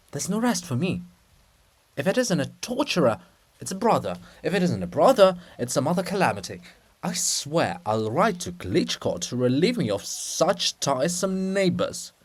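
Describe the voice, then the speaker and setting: A haughty princess of stone, as acted by a reader, exasperated and long-suffering. The delivery is melodramatic and imperious, swinging widely in pitch as in a stage farce. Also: slightly rough. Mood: exasperated complaining. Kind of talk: dramatic stage reading of a farce.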